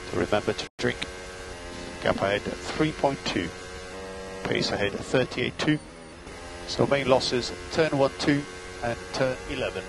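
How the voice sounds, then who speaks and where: A man's voice: medium pitch, lively intonation, a little slow, creaky, pauses often, background noise. A man working as a race engineer, giving terse, clipped instructions and data over motor racing team radio. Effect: team radio transmission.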